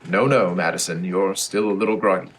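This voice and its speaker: A man's voice: sits low, fairly even intonation, brisk, natural voice, poor audio. An actor playing an earnest, resolute and protective male superhero, speaking formally in a comedic audio drama. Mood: resolute, concerned.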